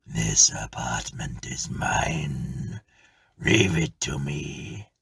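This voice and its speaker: A man voicing the stern, mournful ghost of a ruined man in a story reading, with drawn-out, half-whispered delivery. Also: extremely low pitch, big pitch swings, creaky, fairly bright tone, husky, pitched below the performer's own voice, full character voice. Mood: mournful, menacing.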